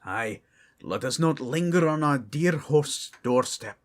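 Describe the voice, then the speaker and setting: A man voicing a dignified male dwarf high priest in a fan audio drama, speaking in formal, old-fashioned phrasing. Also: expressive, takes it slowly. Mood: stately.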